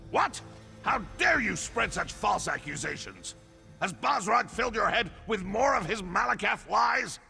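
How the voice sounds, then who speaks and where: An actor playing a proud, imperious orc king in a fantasy video game, grandiose and declamatory in manner, quick to take offence. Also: high voice, vocal fry, pushed and bright, heavily acted. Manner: grandiose, declamatory, indignant when challenged. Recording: long hall reverb, faint music behind.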